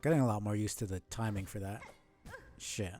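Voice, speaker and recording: A man with a fairly low voice talks through a live gameplay stream in a loose, casual way. He mutters, swears at setbacks and explains things to his viewers as he plays. Faint game music runs underneath. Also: fairly quick, darkish tone. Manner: casual, frustrated muttering and swearing while playing.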